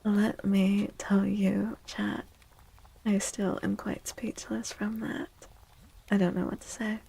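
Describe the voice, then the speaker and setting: A woman speaks in a soft ASMR style, unhurried and low in pitch, with a creaky edge to her hushed voice. She often drops to a near-whisper as she chats to her stream. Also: level tone, poor audio. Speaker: ASMR streamer, tarot reader. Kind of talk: soft-spoken ASMR live chat.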